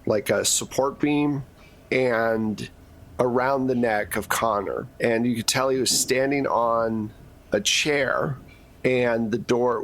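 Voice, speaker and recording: A man acts as game master for a tabletop roleplaying session. He narrates scenes at a slow, deliberate pace in a medium-pitched voice. His delivery is casual, with joking asides. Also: a bit bright.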